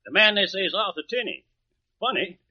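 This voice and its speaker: An actor playing a hesitant witness in a radio drama, speaking in a halting, musing way. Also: male voice, high-pitched, animated, breathy edge. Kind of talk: 1950s radio drama acting. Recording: dull lo-fi old radio recording.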